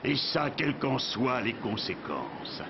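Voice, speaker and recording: A man voicing a deferential, gravelly henchman in a French cartoon dub, explaining things obsequiously to his master. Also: mid-range voice, big pitch swings, slow pace. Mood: assured, servile. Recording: dull lo-fi TV audio, very noisy background.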